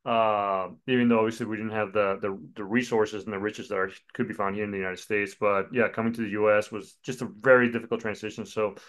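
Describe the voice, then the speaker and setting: A man's voice: fairly low pitch, little pitch movement, fast talker, hardly any pauses, a bit bright. A man in his forties who grew up in Colombia, a pastor telling his story in a podcast interview, with many fillers. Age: 40s.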